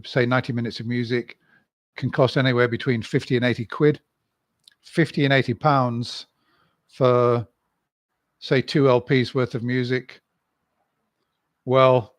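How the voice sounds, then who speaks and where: British man, dry close sound, deliberate talker. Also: medium pitch, no echo. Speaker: host of a hi-fi and records channel. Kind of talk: opinionated informal monologue.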